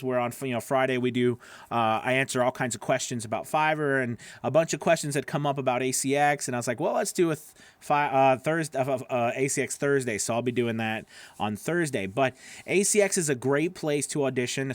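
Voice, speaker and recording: A man's voice: mid-range voice, no echo. A middle-aged man giving casual, conversational advice as a video host, rambling a little and checking in with the listener. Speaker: voice-over coach and video host. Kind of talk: casual instructional talk to camera. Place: small home studio with acoustic foam, indoors. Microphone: broadcast microphone on a boom arm, close.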